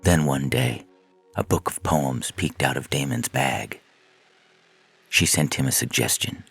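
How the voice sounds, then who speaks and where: A man with a deep, husky voice reading a scripted science fiction story as narrator. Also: measured pace, fairly bright tone, no room sound, soft background music.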